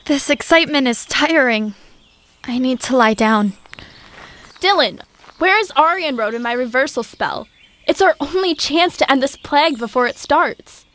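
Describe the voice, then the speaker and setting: A woman's voice: sits high, expressive, moderate pace, a bit bright. A woman playing a sensible, put-upon young courtier in a comic stage-style audio drama, matter-of-fact and reproachful. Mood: weary, practical.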